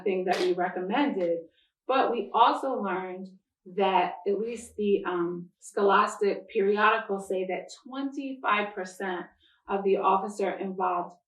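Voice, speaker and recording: A woman county prosecutor giving formal remarks at a public announcement in a measured, deliberate, official way. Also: medium pitch. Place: church interior. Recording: echoey room, distant microphone.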